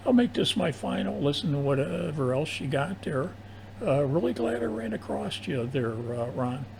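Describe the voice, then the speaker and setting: An older man chatting casually over amateur radio in long turns, with a halting, hesitant delivery. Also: a little high, big pitch swings, gravelly, darkish tone. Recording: noisy amateur AM radio audio.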